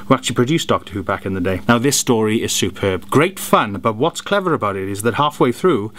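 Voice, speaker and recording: A man in mid-range pitch reviews audio dramas with animated, gushing praise and quick jokes. A faint hum sits behind his voice. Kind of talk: enthusiastic product review.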